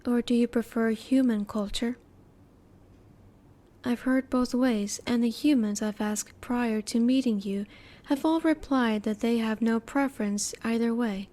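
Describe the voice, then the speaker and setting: A woman's voice: fairly high pitch, measured pace, warm tone, natural voice. A woman voicing a curious, polite alien in a roleplay audio drama, speaking formally and precisely with reserved emotion. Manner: formal, precise, stilted wording, politely inquisitive. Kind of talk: roleplay audio drama, one-sided dialogue to the listener.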